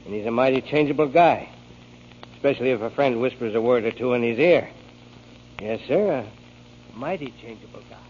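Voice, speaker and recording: A man's voice: medium pitch, brisk, long pauses, darkish tone, lightly acted. A man playing a sly, menacing troublemaker in a 1940s radio drama. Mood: sly, sneering. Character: sly, menacing tough guy, insinuating troublemaker. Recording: dull, noisy 1940s radio recording.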